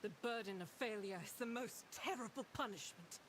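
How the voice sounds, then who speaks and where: A woman's voice: a little high, moderate pace, fairly bright tone, slight background noise, close to an everyday voice. A woman voicing a stern, devout soldier of an imperial faith, giving solemn, clipped lines in a video game. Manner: solemn clipped battle barks. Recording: game audio under music.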